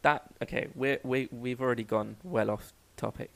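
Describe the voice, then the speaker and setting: Gravelly young London man, rambling. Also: medium pitch, steady tone, moderate pace. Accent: London English. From England. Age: 20s. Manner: rambling, joking, self-interrupting. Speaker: podcast co-host. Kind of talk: casual chat.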